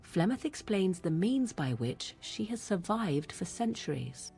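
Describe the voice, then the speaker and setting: An actress playing a proud, troubled young witch in a fantasy game, with formal, archaic, deliberate phrasing. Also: medium pitch, big pitch swings, dark tone, soft background music. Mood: grave, disturbed.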